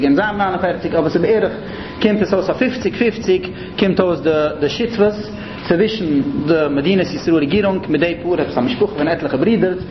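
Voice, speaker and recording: A man with a Yiddish accent giving an explanatory lecture in a didactic way, repeating and rephrasing his points. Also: sits high. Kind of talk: explanatory religious-political lecture. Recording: muffled, noisy, echoey room recording.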